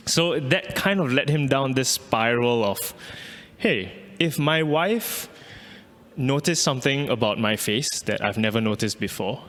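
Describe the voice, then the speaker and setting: A man's voice: medium pitch, a bit bright. Reflective young man, reverberant room. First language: Malay. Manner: thoughtful, with frequent restarts and fillers. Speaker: artist. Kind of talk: reflective interview answer. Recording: reverberant hall.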